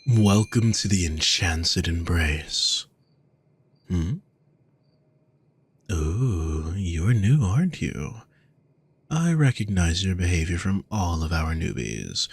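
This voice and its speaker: A man playing a gentle, teasing male demon companion in a roleplay audio, speaking courteously with genteel endearments to the listener. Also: low voice, expressive, slow, long pauses, slightly rough, dry recording, full character voice. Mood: welcoming, teasing.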